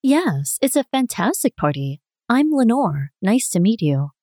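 A woman reading her parts in a scripted dialogue for a language listening test, clear and expressive. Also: on the high side, unhurried, dry recording, clean studio sound.

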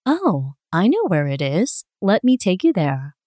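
A woman reading her parts in a scripted dialogue for a language listening test, clear and expressive. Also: on the low side, unhurried, no echo, studio quality.

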